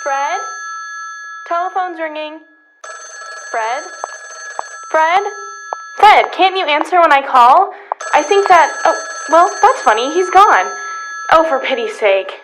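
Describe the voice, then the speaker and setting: A woman's voice: high-pitched, expressive, slow pace, pauses often, background noise. An actress playing a fussy, sweet middle-aged housewife who calls out in a motherly sing-song, in old-time radio comedy style. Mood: calling, put out.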